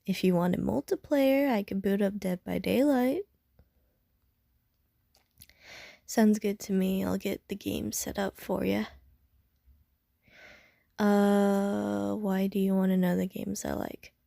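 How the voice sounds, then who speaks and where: A woman acting a mellow, self-deprecating gamer girl. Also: mid-range voice, fairly even intonation, a little slow, creaky, no room sound. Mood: casual, hesitant. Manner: hesitant, slangy, dry, self-mocking. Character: casual, self-deprecating, slightly prickly young woman gamer. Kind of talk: intimate audio roleplay, casual chat to the listener.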